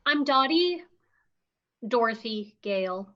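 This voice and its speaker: An earnest young woman, played by a woman who asks politely and pleads. Her voice is high and bright, heard through dull, lo-fi livestream audio. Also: expressive, slow, exaggerated voice. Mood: pleading.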